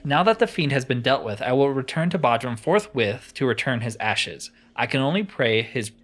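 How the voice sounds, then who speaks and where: A man's voice: medium pitch, animated, moderate pace, a bit bright. A man voicing an earnest, solemn knight from a fantasy game, speaking in formal, declamatory lines. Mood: solemn, regretful. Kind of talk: reading fantasy game dialogue.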